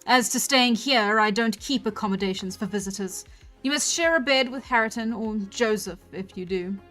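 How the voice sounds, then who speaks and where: A woman's voice: fairly high pitch, normal speed, a bit bright, soft background music, close to an everyday voice, low-quality recording. Storybook role: surly man who runs a farm, voiced by a woman. Stern, curt, commanding, no welcome for guests. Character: stern, surly male farm master, inhospitable.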